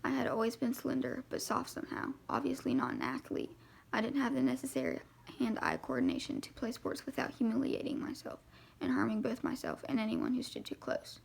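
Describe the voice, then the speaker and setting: Very creaky woman reading a novel aloud. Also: a little high, big pitch swings, a little fast. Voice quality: creaky voice with vocal fry. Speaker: amateur audiobook reader. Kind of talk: scripted first-person novel narration, read aloud.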